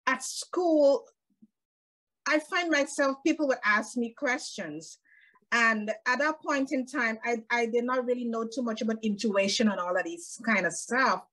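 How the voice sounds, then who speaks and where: This is a middle-aged woman with a Guyanese accent and a fairly high voice. She talks in a jovial, laughing way as a podcast guest. She is on a video call from her home. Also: a little slow, a bit bright, low-quality recording. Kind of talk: casual interview chat. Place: home room with bookshelves.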